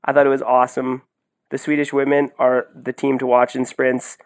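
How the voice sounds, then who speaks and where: A man co-hosting a sports podcast, talking in an excitable, effusive, slangy way in casual commentary. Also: medium pitch, normal speed.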